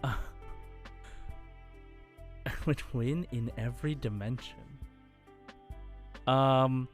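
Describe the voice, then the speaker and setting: A man's voice: medium pitch, takes it slowly, soft background music. A man co-hosting a podcast, chatting casually through a quiz. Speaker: podcast host.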